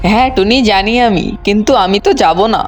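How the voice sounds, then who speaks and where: A man's voice: high-pitched, fast talker, soft background music, natural voice. Man playing a wary cartoon fox brother. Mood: fearful. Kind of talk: children's cartoon voice acting.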